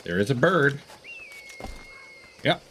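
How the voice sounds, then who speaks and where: A man giving casual livestream commentary on a video game, rambling and thinking out loud as he plays. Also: mid-range voice, very animated, slow pace, a little hiss or hum. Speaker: live streamer playing a video game.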